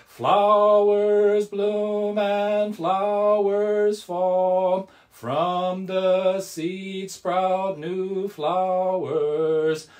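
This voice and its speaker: Very slow, measured devotional chanting voice. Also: medium pitch, level tone, a little mellow. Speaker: Buddhist service leader.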